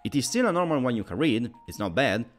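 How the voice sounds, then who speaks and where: A man's voice: medium pitch, big pitch swings, quick pace, soft background music. A man narrating a scripted video game review in an analytical, opinionated and wordy manner.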